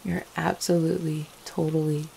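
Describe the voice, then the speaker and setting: A woman playing an affectionate, playful vampire girlfriend in an intimate ASMR roleplay, teasing gently with small hesitant stammers. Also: sits low, little pitch movement, normal speed, creaky, a little hiss or hum, poor audio. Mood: playful, tender. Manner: soft-hearted, teasing, hesitant little stammers and chuckles.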